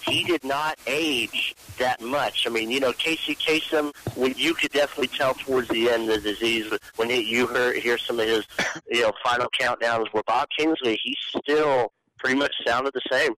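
A man's voice: medium pitch, steady tone, moderate pace, sharp tone, poor audio. A man reminiscing casually as a panelist in a radio discussion show.

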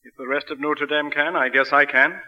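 An actor playing a kindly, dignified priest with a measured, formal manner in 1940s radio drama style. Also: male voice, a little high, steady tone, lightly acted. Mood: kind, earnest. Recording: dull, distant vintage radio.

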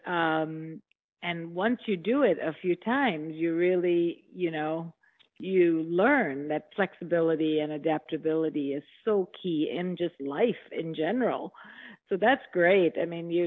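An interviewer leads the conversation and keeps affirming the guest with encouraging remarks. The voice sits mid-range. It comes through a narrow, phone-like connection. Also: mid-range voice.

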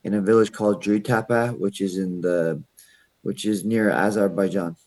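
A man with a fairly low voice talks casually as a podcast guest. He is from Iran and speaks in a relaxed, easygoing way. He often restarts his sentences and leans on fillers. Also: normal speed. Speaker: podcast guest, MMA fighter. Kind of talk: casual interview chat.

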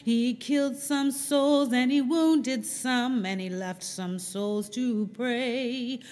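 A woman gospel singer delivering a spiritual in a slow, sung style. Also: on the high side, a little mellow. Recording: long reverberant hall, faint music.

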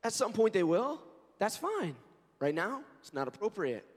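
A hoarse, scratchy-voiced man in his forties preaches with a fairly high voice. He talks earnestly and conversationally, moving between prayer, scripture and stories. His voice rings through a long church-hall reverb. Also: sing-song, moderate pace. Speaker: pastor. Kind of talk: sermon with prayer and scripture reading. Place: church sanctuary.

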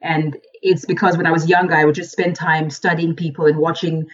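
A woman in her forties from Ghana, a guest speaker and coach, giving thoughtful, unhurried interview answers and often restarting her words. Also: sits low, level tone, husky, lo-fi sound.

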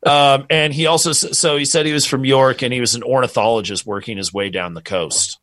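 A relaxed man narrates a true crime tale in a loose, rambling way, swearing as he goes. His voice sits mid-range and sounds dry and close to the mic. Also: mid-range voice, fairly quick, dry recording. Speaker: podcast co-host telling a true crime story. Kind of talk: casual storytelling chat.

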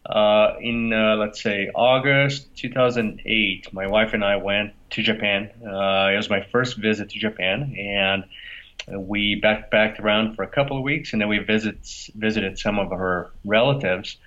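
A man's voice: on the low side, steady tone, a little slow, fairly bright tone. A man with a Brazilian accent, a company founder answering interview questions in an easygoing way, laughing often. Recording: dull lo-fi audio.

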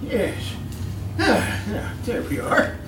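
An actor in an old-time radio drama playing a fussy, smarmy swindler posing as a genteel employer, stammering, repeating himself and chuckling nervously. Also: male voice, very high voice, big pitch swings, slow, full character voice. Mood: oily, fussy. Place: live theatre stage. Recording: roomy distant live stage, music behind.

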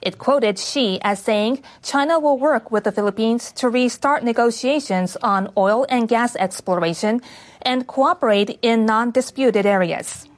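A woman reading scripted international news for radio as a newsreader. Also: fairly high pitch. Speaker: news reader.

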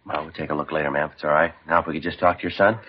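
An actor playing a stoic, courteous police detective sergeant in a 1950s radio crime drama, deadpan and clipped. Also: male voice, a bass voice, rapid-fire, background noise. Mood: polite, probing. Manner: deadpan, clipped, matter-of-fact. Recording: dull lo-fi 1950s radio recording.

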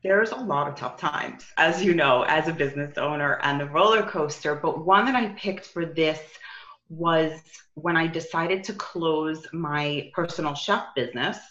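New York woman, bright roomy call audio. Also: low-pitched, measured pace. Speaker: business and life coach, guest. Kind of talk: conversational interview. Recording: roomy, slightly dull sound.

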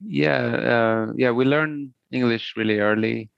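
A middle-aged man from Sweden, a native Swedish speaker, talking haltingly and thoughtfully as a musician in a casual interview. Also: mid-range voice, gravelly, smooth, no room sound, dull audio. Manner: hesitant, halting, thoughtful, searching for words.

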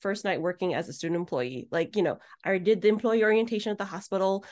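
A woman's voice: mid-range voice, fast talker, a little mellow. A woman hosting a podcast in a casual, conversational interview style. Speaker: podcast host.

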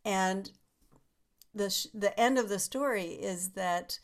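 A woman in a casual conversation, telling stories with lively acted-out quotes. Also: medium pitch, slow.

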